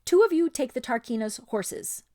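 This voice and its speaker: A woman voicing a loud, frivolous young noblewoman in a storybook read-aloud, with a shrill, drawling, gushing voice. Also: lively intonation, moderate pace, dry recording, studio quality.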